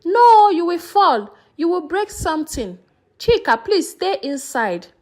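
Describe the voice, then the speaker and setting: Give this folktale a proud village mother, acted out by a female storyteller, high-pitched and swooping. She dotes fiercely on her child and is combative and insistent with everyone else. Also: big pitch swings, a little slow, heavily acted. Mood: fussing. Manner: scolding, boastful, insistent.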